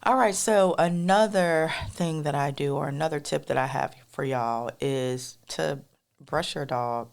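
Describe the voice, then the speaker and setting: A woman co-hosting a podcast in a casual, rambling monologue with a soft, melodic voice, talking straight to listeners as y'all. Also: low voice, a little slow, creaky. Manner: rambling, addresses listeners as y'all, many fillers. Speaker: podcast co-host.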